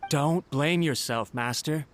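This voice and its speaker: A man's voice: on the high side, slow pace, slight background noise. A man playing a loyal, anxious servant in a video game, polite and deferential in the way he talks. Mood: worried, consoling. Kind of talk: video game character acting. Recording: game music behind the voice.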